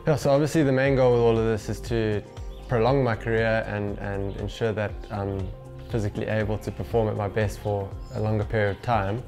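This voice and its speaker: A man's voice: lowish voice, level tone, fairly quick, hardly any pauses, darkish tone. A man in his twenties, a professional athlete, chatting casually in an interview on a lapel mic. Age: 20s. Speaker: professional golfer being interviewed. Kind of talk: casual interview talk to camera. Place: small home gym room, indoors. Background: background music.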